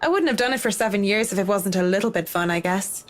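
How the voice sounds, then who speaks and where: A woman's voice: medium pitch, quick pace, lightly acted. Reserved, dry professional woman played by an actress. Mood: polite, reserved. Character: reserved, blunt professional woman designer in a horror game. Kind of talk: visual novel voice acting.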